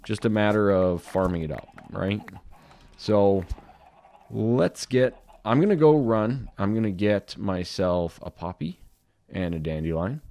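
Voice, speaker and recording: Low-voiced man chatting through a game. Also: measured pace, no echo. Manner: relaxed, chatty, talks to viewers while playing. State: slightly dry, scratchy throat. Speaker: gaming video host. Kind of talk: casual Minecraft modpack commentary.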